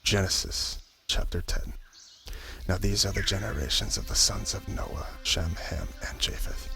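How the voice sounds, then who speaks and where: A man narrating scripture in an ASMR-style Bible audio drama, with a creaky voice and a halting way with names. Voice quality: low, creaky voice. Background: music.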